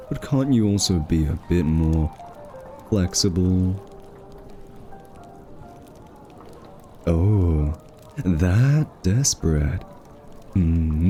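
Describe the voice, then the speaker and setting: A man's voice: low-pitched, warm tone. A male voice actor playing a smug, flirty thief in an ASMR roleplay, teasing and drawling with suggestive innuendo. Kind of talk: ASMR roleplay, scripted character acting.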